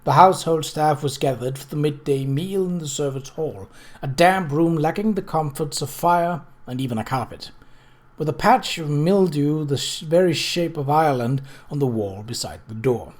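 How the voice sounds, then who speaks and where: A storyteller reading a novel aloud at a medium pitch. The delivery is scripted narration, with occasional slips on words that get read over again. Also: moderate pace, darkish tone. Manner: stumbles over words and rereads them. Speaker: audiobook reader.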